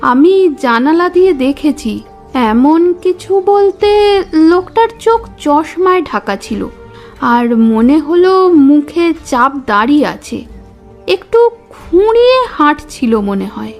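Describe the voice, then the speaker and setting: Young female witness played by a woman, earnest and watchful, high-pitched, unhurried delivery, audio drama over music. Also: very animated, darkish tone, full character voice. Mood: earnest, careful. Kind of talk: audio story acting. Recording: music bed under voice.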